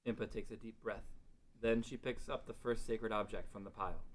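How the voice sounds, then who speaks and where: A man's voice: fairly low pitch, fairly even intonation, brisk. A man narrating a scripted fantasy story as its author, recorded in an echoing space away from the mic, with noise behind him. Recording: roomy, distant mic with clear background noise.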